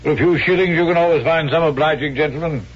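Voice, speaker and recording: An actor in a radio drama playing a sly, callous swindler who talks in a glib, chatty, falsely genial way. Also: male voice, fairly high pitch, fast talker, husky. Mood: callous, confiding. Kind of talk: 1970s radio drama acting. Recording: dull vintage radio recording, music behind.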